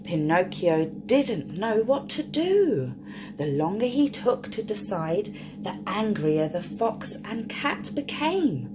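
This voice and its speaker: A woman's voice: mid-range voice, sing-song, takes it slowly, background noise. A woman reading a picture book aloud to young children in a sing-song storytime voice, often stopping to ask the listener questions.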